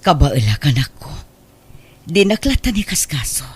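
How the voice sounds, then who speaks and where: A heartbroken but forgiving mother in a radio drama. Her breathy, husky voice rises and falls widely, tender and tearful. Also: low-pitched, sing-song, normal speed, darkish tone, exaggerated voice. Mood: sorrowful, gentle. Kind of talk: Filipino radio drama acting.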